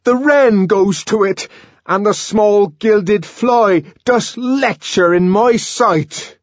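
A man voicing a savage, raging young man in an audiobook, declaiming archaic words theatrically and forcefully. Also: high voice, takes it slowly, no echo, lo-fi sound, pitched above the performer's own voice. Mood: maddened declaiming.

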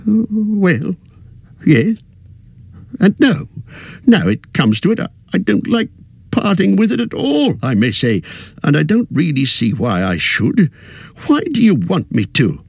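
Petulant elderly hobbit, high quavering voice, lively swings, as a man reading puts him on. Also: unhurried, vocal fry, slightly rough, no room sound, heavily acted. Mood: flustered. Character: fussy elderly male hobbit, turning possessive.

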